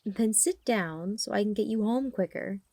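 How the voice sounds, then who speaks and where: A woman playing a practical, caring healer for a werewolf pack in an audio drama, brisk and matter-of-fact. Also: medium pitch, mellow, dry recording, natural voice. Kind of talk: audio roleplay acting.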